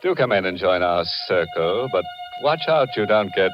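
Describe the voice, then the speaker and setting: Ghoulish radio horror host: low, sly, theatrical, darkly gleeful, muffled vintage broadcast. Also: male voice, animated, normal speed. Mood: sly, macabre. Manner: sly, mock-welcoming, theatrical. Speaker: horror anthology show host. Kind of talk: 1940s radio horror hosting. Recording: muffled 1940s radio, loud music.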